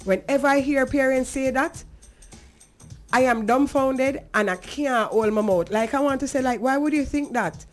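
A woman's voice: sits high, normal speed, faint music behind. A woman with a Jamaican accent talking earnestly and conversationally as a talk show host, emphatic and now and then slipping into patois.

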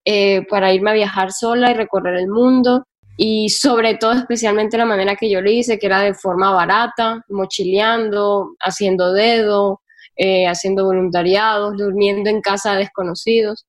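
A woman from Colombia's Caribbean coast with that region's Spanish accent, telling her experiences candidly in a casual interview and repeating words for emphasis. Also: medium pitch. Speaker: interview guest, solo traveler and travel writer.

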